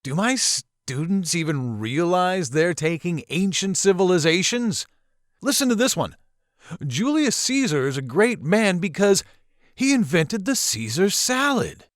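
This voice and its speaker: A man playing a courteous, wry, quietly mysterious college professor in a visual novel, speaking in a theatrical, measured way. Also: high-pitched, very animated, no room sound, clean studio sound. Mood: wry exasperation. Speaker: professor character.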